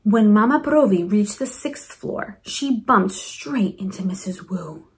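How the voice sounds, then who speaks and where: A woman reading a storybook aloud to children in an expressive way, with chatty asides to her young listeners. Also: mid-range voice, measured pace. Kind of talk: animated children's read-aloud.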